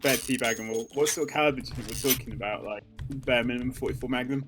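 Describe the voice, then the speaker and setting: A man's voice: mid-range voice, very fast, music in the background. A man co-hosting a gaming video in casual banter, joking dryly and swearing now and then. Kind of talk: casual banter over gameplay.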